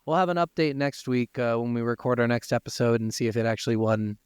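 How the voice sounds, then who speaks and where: A man's voice: medium pitch, fast, clear, resonant tone, dry recording, clean studio sound. A man hosting a gaming podcast, chatting casually and informally with some swearing. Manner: informal, profane. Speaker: podcast host.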